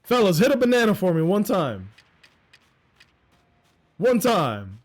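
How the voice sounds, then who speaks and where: A young man streaming a game and chatting casually, with a loud voice and a rambling, profane, self-mocking way of talking. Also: high voice, wildly swooping pitch, measured pace. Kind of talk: casual live-stream chat while gaming.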